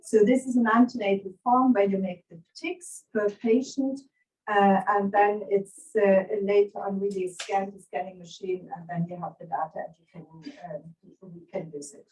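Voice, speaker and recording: Middle-aged German-accented woman, conference presenter, halting delivery, recorded at a distance. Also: mid-range voice, darkish tone. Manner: hesitant, many fillers and restarts. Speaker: conference speaker. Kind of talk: academic presentation.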